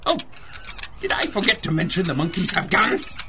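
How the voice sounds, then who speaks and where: An actor playing a deranged, gleeful supervillain who commands monkeys, theatrical and taunting, in a 1930s-style radio drama. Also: male voice, sits high, animated, moderate pace, noisy background. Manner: theatrical, taunting, cackling, swinging from croon to shriek.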